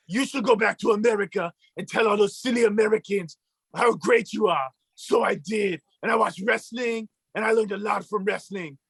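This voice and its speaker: A man voicing a boastful mustached male puppet who shouts and brags like a cartoon villain, with a gravelly, husky voice. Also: high-pitched, no echo, exaggerated voice. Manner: shouting, bragging, mock-villainous. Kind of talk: comedic puppet bit on a talk show.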